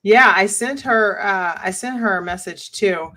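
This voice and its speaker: A woman's voice: medium pitch, a little slow, bright, forceful tone. A woman from the United States hosting a casual live-stream chat, encouraging and talkative, often repeating words.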